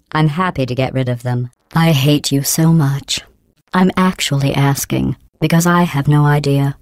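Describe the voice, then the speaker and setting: A woman voicing a cold, sarcastic female AI overseer in a video game, talking in a deadpan, condescending, passive-aggressive way with robotic processing. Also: deep voice, clear, resonant tone, dry recording, studio quality. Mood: menacing, mocking. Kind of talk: game voice clips.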